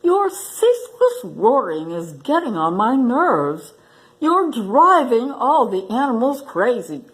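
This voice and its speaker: Small feisty storybook warthog, female performer. High, cheeky, scolding, animated. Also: slow pace, rough recording. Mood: irritated. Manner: snappy, scolding.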